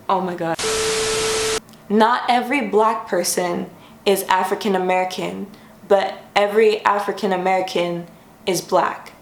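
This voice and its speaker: A woman's voice: medium pitch, roomy sound, rough recording. A young woman hosting a casual explainer vlog from her room, friendly and chatty, sometimes stopping to correct herself. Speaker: YouTube host. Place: her room, sitting on the floor.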